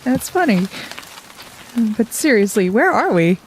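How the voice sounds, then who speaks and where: A woman's voice: a little high, very animated, unhurried, slight background noise, poor audio. A woman playing a wry, self-doubting young time traveler in an audio drama, with a wistful, confiding manner. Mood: wry, unimpressed. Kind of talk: audio drama, reflective letter narration and dialogue.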